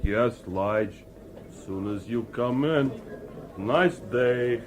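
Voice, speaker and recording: Slow, polite robot messenger. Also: male voice, mid-range voice, a little hiss or hum. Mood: blandly polite. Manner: slow, flat, literal repetition. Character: polite, mindless robot office messenger, male. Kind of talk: radio drama acting.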